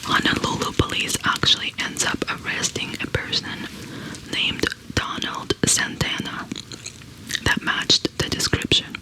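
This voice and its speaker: A woman in her thirties tells a true crime story entirely in a soft, breathy whisper, unhurried and deliberate. She crochets as she talks, sitting close to a large studio microphone in a small room at home. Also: rough recording. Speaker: ASMR host. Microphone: large studio condenser mic close to her face.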